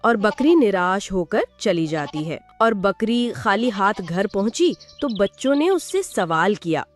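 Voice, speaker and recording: A woman narrating a moral fable for a Hindi cartoon in a plain, steady storytelling way. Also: mid-range voice, measured pace, faint music behind.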